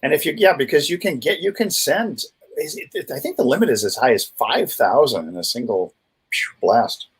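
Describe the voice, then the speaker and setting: A man's voice: mid-range voice, big pitch swings, normal speed, slightly rough. An American man co-hosting a casual livestream chat, wry and jokey with a taste for wordplay. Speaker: livestream co-host.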